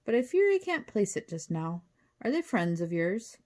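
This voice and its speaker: A woman voicing a kindly, calm little male professor with a British accent and quaintly proper manners, in a storybook reading. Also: medium pitch, normal speed, natural voice. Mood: genial, curious.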